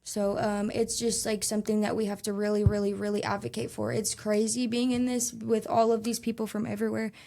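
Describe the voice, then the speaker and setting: A young woman speaks with an earnest, chatty flow, quick and full of little restarts and fillers. Her voice sits at an ordinary middle pitch for a woman, and she talks as an interview guest. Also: level tone, creaky, warm tone. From Texas. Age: young adult. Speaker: guest, youth advocate. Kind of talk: casual interview conversation.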